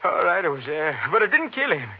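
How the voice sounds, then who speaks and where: Jittery, high-voiced hobo, old radio. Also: male voice, big pitch swings. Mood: scared, pleading. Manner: halting, stammering, uneducated speech. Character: frightened, evasive drifter hobo under police questioning. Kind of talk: 1950s radio drama acting. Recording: muffled old radio broadcast recording.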